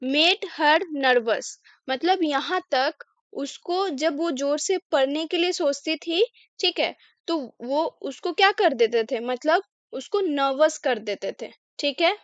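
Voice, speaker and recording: A young Indian woman with a high voice teaches an English lesson slowly and patiently. She works through a text line by line, puts a question to her listeners and then answers it herself. The sound is close and dry, with no room echo. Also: dull audio. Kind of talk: slow line-by-line English lesson explained in Hindi.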